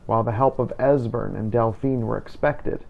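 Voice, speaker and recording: A man's voice: mid-range voice, fairly even intonation, normal speed, vocal fry, phone-quality sound, a little hiss or hum. A man narrating a video game roleplay playthrough in a scripted, storytelling style. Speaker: host of a game playthrough series.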